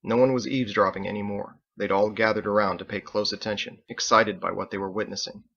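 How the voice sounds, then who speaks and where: A man's voice: on the low side, fairly even intonation, fast talker, gravelly, pushed and bright, lo-fi sound. A man reading scripted fiction aloud as its author and narrator.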